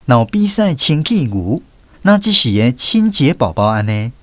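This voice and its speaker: A man's voice: medium pitch, sing-song, normal speed, no echo. A man with a Taiwanese accent narrating a scripted radio drama as a storyteller.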